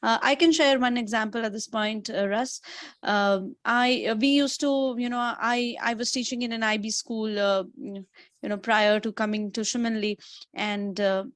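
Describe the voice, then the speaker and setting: A woman's voice: a little high, fairly even intonation, moderate pace, fairly bright tone, no room sound. A woman teacher from India, speaking in a webinar with frequent hesitations and fillers. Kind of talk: webinar discussion.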